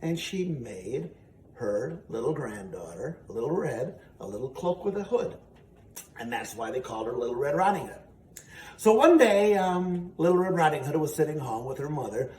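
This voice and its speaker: Higher-pitched male storyteller in an echoey room. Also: sing-song, normal speed, darkish tone. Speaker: host, storyteller and ventriloquist. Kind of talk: children's storytime narration with comic banter. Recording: echoey room, distant mic, faint noise.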